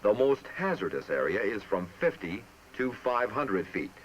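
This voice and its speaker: A man narrating a military training film in a formal, measured, instructive manner, reading from a script. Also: on the low side, sing-song. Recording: muffled, noisy old film soundtrack.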